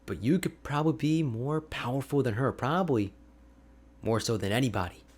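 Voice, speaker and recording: A man playing an energetic, friendly young ice mage mentor in an ASMR roleplay, talking to the listener in an animated, informal, chatty way. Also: mid-range voice, moderate pace, husky, natural voice. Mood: wistful, musing. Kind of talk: ASMR roleplay audio drama, addressing the listener.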